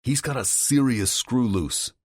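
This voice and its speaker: Urgent brother figure, raised pitch, insistent, cursing, voiced by a male narrator. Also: unhurried, a little mellow, breathy edge, no room sound, studio quality. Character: agitated man warning his brother by phone.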